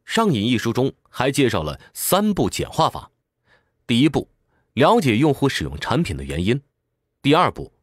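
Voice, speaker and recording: A man's voice: mid-range voice, no echo, studio quality. A man reading a scripted book digest as narrator, explaining in a structured, instructive way. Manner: clear, structured explaining with rhetorical questions. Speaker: audiobook summary narrator.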